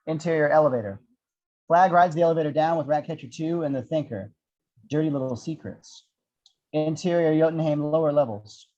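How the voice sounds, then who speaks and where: A man's voice: on the high side, brisk, dark tone, dull audio. A man reading out the action descriptions of a screenplay as narrator.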